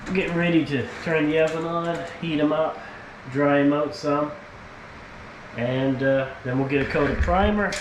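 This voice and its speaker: A man's voice: fairly high pitch, normal speed. A man hosting a casual workshop vlog, explaining hands-on work step by step in plain words. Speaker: host, powder coating tradesman. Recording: noisy workshop background.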